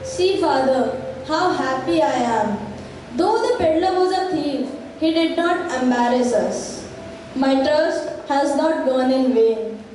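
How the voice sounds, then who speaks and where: A woman's voice: high voice, slow pace, dark tone, very echoey, in a large hall, slight background noise, poor audio. A young female performer playing a kind, trusting daughter of a wealthy house in an amateur stage play. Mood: happy, proud.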